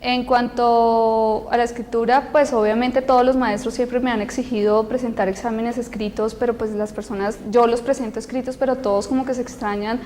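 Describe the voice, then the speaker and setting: A woman interpreting aloud for an interviewee, halting with many fillers and restarts. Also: on the high side, steady tone, darkish tone, long reverb tail, a little hiss or hum. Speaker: sign language interpreter voicing a deaf student. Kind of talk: interview answer, spoken interpretation.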